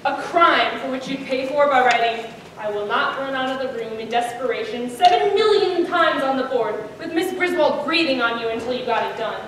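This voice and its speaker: High-voiced teenage girl narrating theatrically. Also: lively intonation, moderate pace, a bit bright. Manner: theatrical, projected delivery. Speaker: student performer, narrator. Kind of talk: dramatic comic storytelling in readers theater. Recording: echoey room, distant mic, faint noise.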